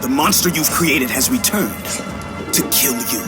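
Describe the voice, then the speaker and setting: An actor playing a tormented, vengeful warrior in a video game, with a grim, intense, declamatory delivery. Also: male voice, medium pitch, lively intonation, unhurried, natural voice. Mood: defiant. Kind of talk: video game dramatic acting. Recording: music under the voice.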